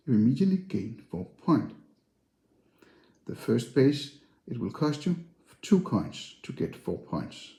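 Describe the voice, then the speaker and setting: A man's voice: medium pitch, dark tone. A man narrating board game instructions in a simple, step-by-step way. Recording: roomy, echoey sound.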